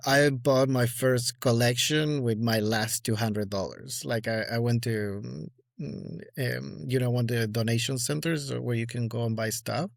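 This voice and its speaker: A man's voice: mid-range voice, measured pace, vocal fry, dry recording, studio quality. A man in his forties with an Argentine accent, a guest speaking in a casual interview with many fillers and restarts. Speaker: guest collector.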